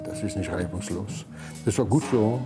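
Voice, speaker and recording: A man's voice: low-pitched, moderate pace. A man in his sixties from Poland, a native Polish speaker, talks in an easygoing, joking way with small hesitations. Music plays underneath him throughout. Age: 60s. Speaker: bass maker and business owner. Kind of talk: interview.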